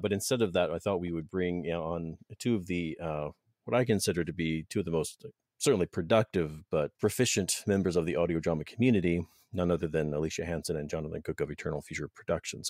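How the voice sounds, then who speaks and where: Brief: deep-voiced male podcast host, playful and rambling, dry close-mic sound. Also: a little mellow, dry recording. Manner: joking, rambling, self-interrupting. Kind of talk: casual podcast chat.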